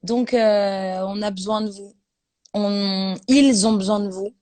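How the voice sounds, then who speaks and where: A woman's voice: medium pitch, fairly even intonation, slow, darkish tone, no room sound. A woman in her thirties hosting a casual live stream from her phone, playful and teasing, often laughing.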